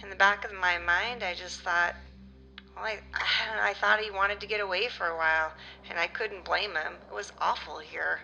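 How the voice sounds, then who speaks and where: A middle-aged actress playing a grieving mother of a missing son, halting and emotional, in a naturalistic audio drama interview. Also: medium pitch, fairly even intonation, creaky, pushed and bright, dull audio, a little hiss or hum. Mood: weary, regretful.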